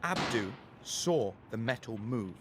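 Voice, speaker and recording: A man narrating a scripted children's story as a storyteller, expressive and deliberate, with faint vehicle noise behind him. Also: medium pitch.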